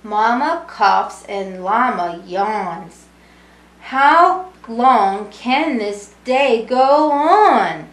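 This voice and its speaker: A woman's voice: a little high, animated, drawn-out words. A middle-aged woman reading a rhyming picture book aloud to young children in a singsong way, with little explanatory asides. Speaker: storybook reader, early childhood education student. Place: small indoor room with brick wall. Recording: roomy, echoing home recording.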